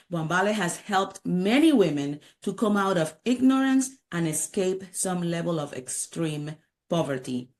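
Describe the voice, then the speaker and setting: A woman hosting a podcast, reading a guest introduction and asking measured, encouraging questions. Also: medium pitch, darkish tone. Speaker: podcast host.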